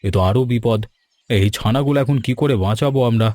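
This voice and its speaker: A man playing a curious, worried village father who runs a sweet shop in an animated story. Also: mid-range voice, fast talker, natural voice.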